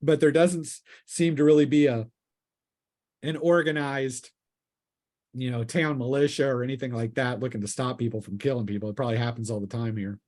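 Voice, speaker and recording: Male game master, mid-pitched, conversational and descriptive, improvising scenes for a tabletop role-playing group. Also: steady tone, a little fast, darkish tone.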